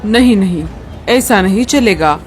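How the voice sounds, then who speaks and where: A woman's voice: medium pitch, expressive, a little slow, slight background noise, close to an everyday voice. A woman voicing a greedy, threatening eagle who runs a fairground ride in a cartoon, hawking and scolding. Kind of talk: cartoon story dialogue.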